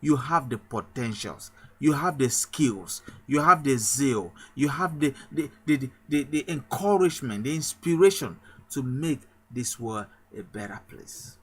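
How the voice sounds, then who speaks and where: A man's voice: medium pitch, lively intonation, unhurried, husky. A man from Cameroon, a pastor, giving an emphatic motivational sermon with a repetitive rallying cadence.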